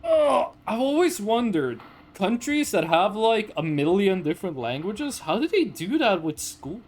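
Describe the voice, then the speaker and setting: Male game streamer, native Norwegian speaker. High register, slow delivery, rambling off-the-cuff chat with casual swearing. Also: big pitch swings, darkish tone. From Norway. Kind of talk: casual livestream chat over gameplay.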